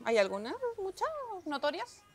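A woman with a Chilean accent hosting a studio talk show, firing off quick, bubbly questions. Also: high voice, big pitch swings, music in the background. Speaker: host. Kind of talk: casual chat. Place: TV studio set.

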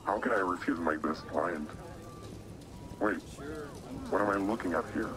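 An actor playing a cautious hacker contact on a phone call in a video game, measured and wry. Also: male voice, deep voice. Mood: cooperative. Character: cautious male underground hacker contact aiding a client.

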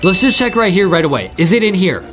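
A young man giving excited, repetitive gameplay commentary to his viewers as a video host. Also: high-pitched, fast talker. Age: young adult. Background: faint music.

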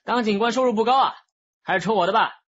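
A man's voice: sits high, fast talker, a bit bright, highs cut off. An actor playing a brash, slippery streetwise teenage boy in a radio drama, cocky and wheedling.

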